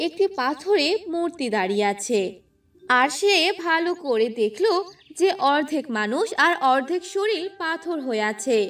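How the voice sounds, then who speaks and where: A woman storyteller with a high voice reads scripted narration for a cartoon fairy tale. She sounds slightly away from the mic. Music plays underneath. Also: distant mic.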